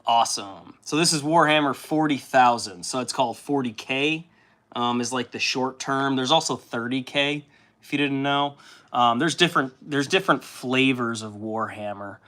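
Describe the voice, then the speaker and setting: A man's voice: mid-range voice, normal speed. Chatty man streaming while painting. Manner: chatty, loud and impassioned at times. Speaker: livestream host painting miniatures. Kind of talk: casual livestream chat.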